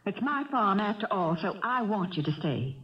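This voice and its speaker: Casting: actress for kindly, naive country wife, plain-spoken with animated delivery, old noisy radio play. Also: female voice, mid-range voice, normal speed, lightly acted. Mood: trusting. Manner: plain-spoken, folksy, wondering. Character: kindly, naive farm wife and mother, trusting. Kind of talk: 1970s radio drama acting. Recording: dull, noisy vintage radio recording.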